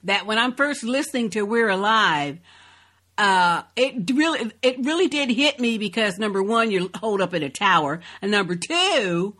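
A woman's voice: mid-range voice, lively intonation, moderate pace, pushed and bright. A woman co-hosting a casual, conversational interview podcast. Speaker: co-host interviewer.

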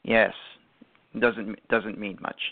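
An actor playing a weary, regretful older father in a radio drama, brooding and self-pitying, with halting, repeated words. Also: on the low side, level tone. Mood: evasive, subdued. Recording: muffled phone-like audio.

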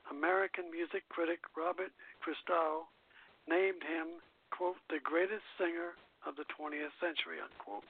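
A man hosting a radio show, reading biographical notes aloud with casual asides, in a husky voice. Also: on the high side. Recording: tinny phone-like radio line.

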